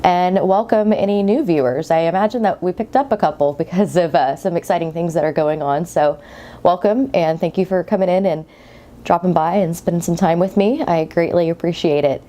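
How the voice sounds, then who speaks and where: Woman podcast host, low mellow voice, stuffy from a cold, chatty and rambling. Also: fairly quick. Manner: chatty, rambling, friendly asides. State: congested from a cold, sniffly. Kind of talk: casual knitting podcast chat.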